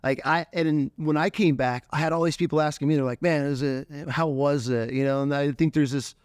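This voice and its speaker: A man's voice: a little high, fairly even intonation, brisk, clear, resonant tone, no echo, clean studio sound. An American man hosting a podcast, asking questions eagerly in loose, restarting sentences. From United States. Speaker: podcast host, hunting guide. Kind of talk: casual chat.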